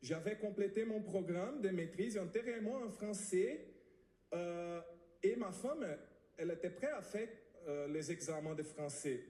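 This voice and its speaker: Earnest man, high voice. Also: lively intonation, measured pace, dark tone. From Brazil. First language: Portuguese. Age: 30s. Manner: earnest, pleading, many hesitations and restarts. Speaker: immigrant giving testimony at a press conference. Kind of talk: emotional public testimony. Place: press conference room. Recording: echoey room.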